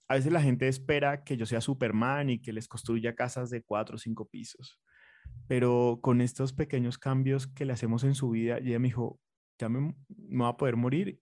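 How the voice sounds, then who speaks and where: A man's voice: mid-range voice, darkish tone, dry recording, studio quality. A man with a Colombian accent, a designer being interviewed, talking in an earnest, reflective way with fillers and restarts. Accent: Colombian Spanish. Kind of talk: conversational interview.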